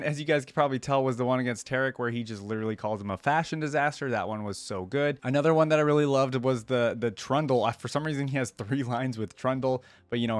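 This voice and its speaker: A man's voice: medium pitch, no room sound. Man giving enthusiastic casual reaction commentary, dry sound. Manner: enthusiastic, slangy fan talk. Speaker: reaction video host.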